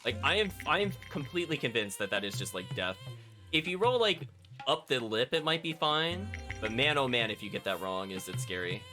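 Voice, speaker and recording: A man's voice: medium pitch, animated, fairly quick, pushed and bright. A man giving casual live commentary as a video game streamer, thinking aloud and chatting to his viewers. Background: game music.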